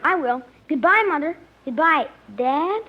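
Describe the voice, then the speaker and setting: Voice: sits high, lively intonation, measured pace. A performer playing an eager, blunt young son in a 1950s radio comedy. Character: eager young son asking to play ball. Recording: noisy, muffled 1950s radio recording.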